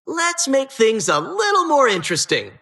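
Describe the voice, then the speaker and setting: A bright, sinister, swooping dungeon host. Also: female voice, high-pitched, wildly swooping pitch, normal speed, heavily acted. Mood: mock welcoming. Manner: mock-cheerful, sardonic, teasing, theatrical. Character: gleefully sinister, playful disembodied female dungeon host. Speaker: game announcer. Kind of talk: theatrical video game voice lines.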